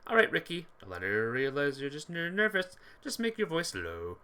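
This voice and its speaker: Lively, bright-voiced game streamer reading aloud. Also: medium pitch, normal speed, clean tone. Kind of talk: game playthrough narration with chat asides.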